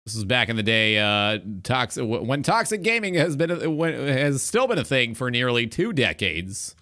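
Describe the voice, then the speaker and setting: A man's voice: medium pitch, very animated, normal speed, bright, forceful tone. A man hosting a casual streamed talk show, rambling loosely and swearing as he comments. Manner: rambling, swearing, echoing what he hears.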